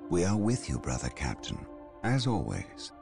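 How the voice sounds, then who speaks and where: An actor playing a grim, loyal male warrior who speaks slowly and gravely. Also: sits low, huge pitch swings, over music, exaggerated voice.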